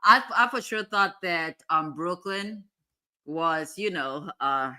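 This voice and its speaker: Lady streamer chatting w viewers, kinda scattered, reads comments out, really bright sharp voice. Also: fairly low pitch, slow. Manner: distracted, reading comments aloud. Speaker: livestream host. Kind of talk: casual live chat with viewers.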